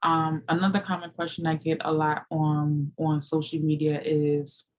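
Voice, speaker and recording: A woman's voice: low-pitched, little pitch movement, moderate pace. A woman in her late thirties answering viewer questions in a loose, rambling chat, full of "you know" and "like". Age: 30s. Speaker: fitness vlogger. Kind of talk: casual Q&A chat.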